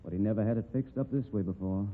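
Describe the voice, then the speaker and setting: An actor playing a calm, dry-witted rodeo manager who investigates a murder. He speaks in a laconic drawl, in 1940s radio acting style. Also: lowish voice, fast talker, a little hiss or hum, close to an everyday voice. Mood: explaining. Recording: narrow-band old radio broadcast.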